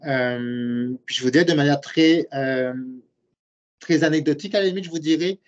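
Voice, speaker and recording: A man's voice: fairly high pitch, moderate pace. A man in his late forties with a Québécois accent, a program director earnestly explaining his views while answering webinar questions. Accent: Québécois French. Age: late 40s. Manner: earnest, explanatory, thinks aloud. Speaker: university program director and professor. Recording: muffled lo-fi web call audio.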